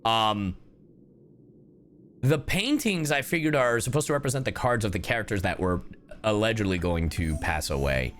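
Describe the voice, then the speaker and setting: A man giving casual game reaction commentary, brash and expressive, with lots of swearing and slang. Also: fairly low pitch, moderate pace. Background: game music.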